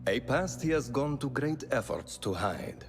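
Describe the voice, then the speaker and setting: An actor playing a shrewd, stern mentor of a secret order in a video game, grave and wry, with long reverb. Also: male voice, mid-range voice, big pitch swings, measured pace, creaky, soft background music. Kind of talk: video game cutscene acting.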